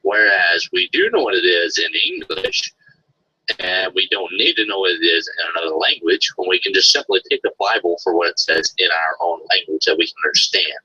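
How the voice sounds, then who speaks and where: A man in an online debate, speaking in a preachy, slow, insistent way. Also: deep voice, animated, sharp tone, slightly rough. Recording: dull lo-fi stream audio with faint noise.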